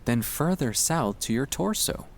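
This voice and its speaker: A man speaking in a gentle, soothing, reassuring way, delivering a scripted ASMR guided relaxation to help the listener sleep. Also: medium pitch, expressive, unhurried, creaky.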